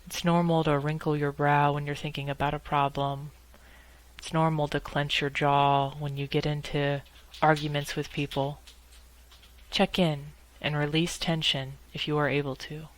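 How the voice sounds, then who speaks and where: A woman guiding a meditation in a measured, instructional way, speaking in short phrases with pauses between them. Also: deep voice, little pitch movement, low-quality recording. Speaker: guided meditation leader. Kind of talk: guided meditation narration.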